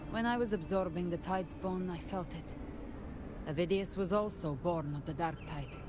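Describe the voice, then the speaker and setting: An actress voicing a brooding, resolute young heroine in a video game, grave and measured, with dramatic intensity. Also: female voice, fairly low pitch, noisy background, lightly acted. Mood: somber, resolute. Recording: loud music behind the voice. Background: loud game music.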